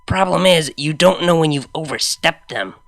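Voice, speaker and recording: Woman playing a low, dry, weary mare. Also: moderate pace, creaky, dry recording. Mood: dry, patient. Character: wry, weary, tipsy young female pony narrator-partner.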